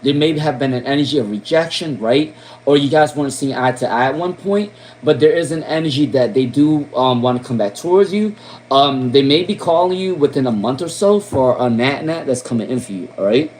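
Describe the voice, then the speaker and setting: A man's voice: mid-range voice, expressive, brisk, a little mellow, slight background noise, rough recording. A man with a Long Island accent giving a casual live-stream tarot reading. He repeats names and questions often, says "um" a lot, and ends his points with "okay?" and "all right?". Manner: casual, chatty, lots of "um". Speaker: tarot reader.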